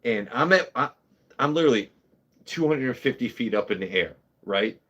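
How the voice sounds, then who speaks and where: A man's voice: mid-range voice, animated, moderate pace, fairly bright tone, breathy edge. A man in his thirties hosting a casual livestream, talking bluntly with lots of swearing and rambling asides. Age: 30s. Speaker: whiskey channel host.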